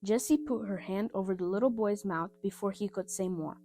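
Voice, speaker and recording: A woman reading a scripted children's story aloud in an expressive, simple style, with music behind her. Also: medium pitch, a little fast. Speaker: storybook reader.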